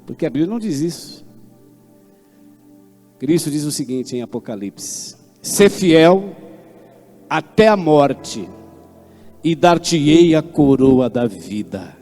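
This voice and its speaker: A man, preaching a sermon with fervent swings between loud declaiming and low asides. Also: animated, takes it slowly, pauses often. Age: 60s. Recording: long hall reverb, faint instrument music behind.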